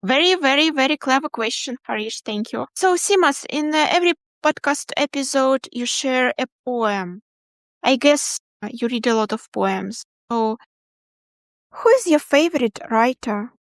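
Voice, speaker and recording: A woman's voice: sits high, animated, normal speed, dry recording, studio quality. A woman hosting a podcast interview, asking questions in a friendly, encouraging way and often repeating her words. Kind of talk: casual interview.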